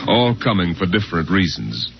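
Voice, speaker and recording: A man's voice: low voice, very animated, moderate pace, creaky, heavy background noise, full character voice. A man playing a solemn, portentous narrator in a 1950s science fiction radio drama. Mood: rousing, portentous. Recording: dull old radio recording, loud music.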